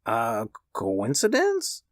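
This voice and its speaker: A man's voice: high-pitched, big pitch swings, slow pace, bright, forceful tone, no room sound, studio quality, voice pushed up, exaggerated voice. A man voicing a fearful old husband in a storybook reading, with an unsteady, stammering delivery. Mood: shaken, unsteady.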